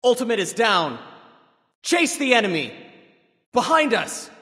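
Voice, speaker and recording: An actor playing a proud, commanding warrior sage god in a video game, giving crisp, theatrical callouts with confidence. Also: male voice, very high voice, big pitch swings, a little slow, pushed and bright, big-room sound, exaggerated voice.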